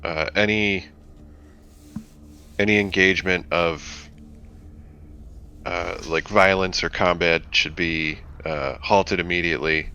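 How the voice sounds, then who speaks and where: A man plays a calm male android with a low, slow voice. The phrasing is formal, polite and halting, in a sci-fi tabletop game recorded on a dull microphone with faint noise. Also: clear, resonant tone, exaggerated voice. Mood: calm, authoritative.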